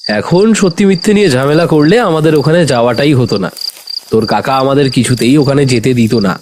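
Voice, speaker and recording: A man's voice: medium pitch, fairly quick, noisy background, close to an everyday voice. A man playing a curious, bold young city man in an audio horror story, casual and persuasive in how he talks. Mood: defiant. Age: young adult.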